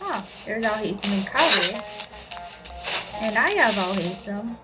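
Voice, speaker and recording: A woman casually commenting on her game playthrough in a halting way, with frequent restarts and repeats. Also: mid-range voice, lively intonation, low-quality recording. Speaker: gameplay channel host. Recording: commentary over loud game music.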